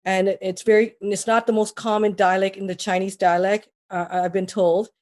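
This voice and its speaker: A woman's voice: mid-range voice, level tone, fairly quick, darkish tone. A woman, a mortgage broker being interviewed, talking casually with many restarts and fillers. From Trinidad. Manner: rambling, with frequent restarts and fillers.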